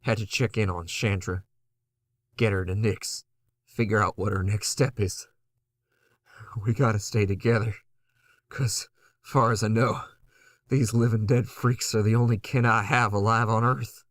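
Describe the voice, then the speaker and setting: A haunted, worn-out man, played by an actor, telling his story in terse, grim fragments. The voice is on the low side and very dry, close to the listener, in the style of horror audio drama. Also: steady tone, measured pace, long pauses, no room sound, natural voice. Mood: weary, resigned. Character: weary, haunted male survivor narrating his ordeal. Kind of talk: first-person horror drama narration.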